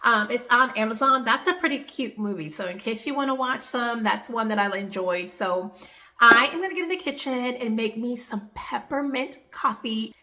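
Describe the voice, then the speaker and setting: A young woman vlogging from home, her voice a little nasal and congested from a stuffy nose. She talks in a cheerful, easygoing ramble, with a bright, crisp edge to her tone. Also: on the high side. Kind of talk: casual home vlog talk to camera.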